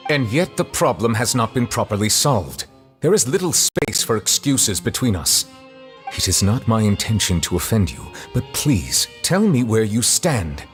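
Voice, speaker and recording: An actor playing a stern, dignified male chief justice who questions in a formal, measured, grave way, heard as game audio over soft music. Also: lowish voice, sing-song.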